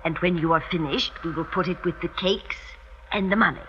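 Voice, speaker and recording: An actress playing a doting but probing older French mother-in-law, speaking deliberately in 1950s radio drama style. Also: on the low side, close to an everyday voice. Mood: fondly approving. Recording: muffled vintage radio recording, faint hiss.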